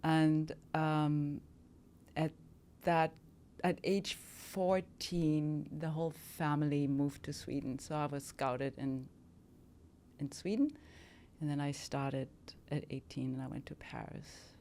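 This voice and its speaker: A woman's voice: low-pitched, steady tone, slow, mellow, a little hiss or hum. A woman in her fifties from Germany, a model talking as a podcast guest in a reflective, hesitant way with many fillers. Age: 50s. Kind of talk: casual interview talk.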